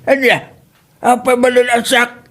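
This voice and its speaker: A man's voice: extremely high pitch, a little slow. A man playing a grumbling, defensive older father and husband in a comedy drama, with an Ilocano accent and a complaining manner. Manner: complaining, excitable. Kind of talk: radio-style comedy drama acting.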